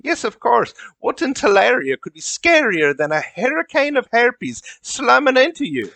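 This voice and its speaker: A man's voice: very high-pitched, big pitch swings, normal speed, creaky, sharp tone, no room sound, full character voice. A man voicing a vain, indignant elf mage in a comedy, with a foreign accent and a haughty, theatrical delivery. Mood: offended, proud. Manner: haughty, dramatic.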